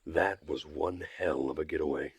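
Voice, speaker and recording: A man with a slightly rough voice narrating a first-person visual novel story in a measured, dry manner. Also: rumbling low, fairly even intonation, dry recording. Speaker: streamer reading a visual novel aloud.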